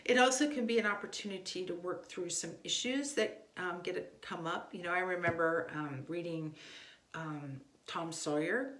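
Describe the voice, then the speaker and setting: A woman's voice: fairly low pitch, moderate pace, a bit bright. A woman giving casual, conversational instructional advice as a homeschooling parent. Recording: echoey room.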